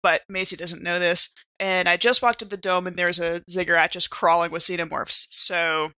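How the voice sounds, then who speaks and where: A woman's voice: on the low side, steady tone, moderate pace, pushed and bright, clear, resonant tone, no echo. A woman chatting casually as a player in a tabletop game, informally thinking aloud.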